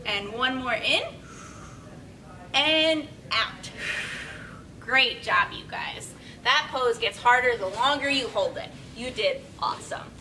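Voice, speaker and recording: A young woman with a bright, fairly high voice guides small children through yoga poses. She gives simple, encouraging directions while demonstrating. She is recorded from across the room with a low rumbly noise behind her. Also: takes it slowly, pauses often. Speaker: children's yoga storytime instructor. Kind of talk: instructional talk for young children. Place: small indoor room with padded steps. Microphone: camera several metres away. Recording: distant camera mic, rumbly background noise.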